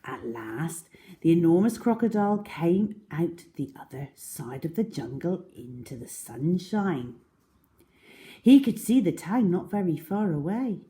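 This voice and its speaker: A woman reading a children's story aloud with dramatic, deliberate, expressive narration. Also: fairly low pitch.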